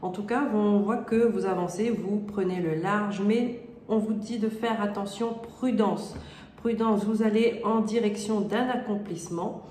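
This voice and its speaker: A woman reading tarot cards aloud while she has a cold, her nose stuffy. Her voice is middle-pitched and she talks in a hesitant, rambling way, often checking in with her listener. The room echoes, with faint noise behind her. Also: steady tone, a little slow, darkish tone. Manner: hesitant, many fillers and rephrasings. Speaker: tarot card reader. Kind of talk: casual spoken tarot reading.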